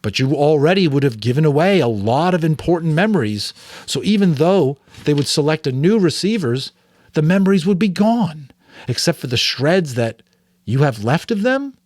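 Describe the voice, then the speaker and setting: A man's voice: a little high, lively intonation, moderate pace, a little mellow, dry recording, clean studio sound, pitched above the performer's own voice. A man voicing an earnest, anxious and curious adolescent boy in an audiobook, with an eager, questioning manner. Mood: anxious, insistent. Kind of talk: audiobook character reading.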